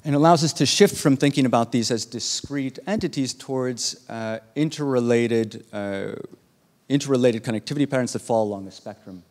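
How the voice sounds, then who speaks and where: A man delivers an academic keynote from the stage of a large lecture hall, walking the audience through his slides. He speaks at a medium pitch and pauses often with "uh" as he explains. His voice carries a long, echoing reverb from the hall. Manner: measured, with frequent "uh" pauses while explaining slides. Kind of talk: academic conference talk.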